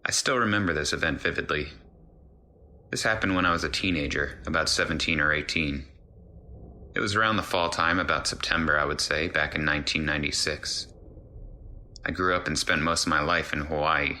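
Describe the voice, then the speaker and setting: Very deep, bright-voiced male story narrator. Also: a little fast. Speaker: narrator reading a listener's true story. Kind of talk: first-person scary story narration.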